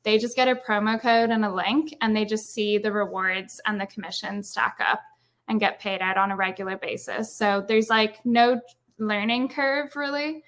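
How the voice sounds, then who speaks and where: Irish woman, bright tone, chatty filler-heavy guest on a video call. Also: mid-range voice, steady tone, normal speed. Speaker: guest speaker, brand marketer. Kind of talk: casual webinar interview.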